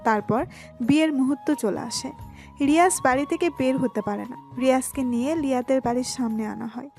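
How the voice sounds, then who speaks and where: A woman's voice: high-pitched, moderate pace, darkish tone. A woman reading scripted narration for a romantic story. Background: music.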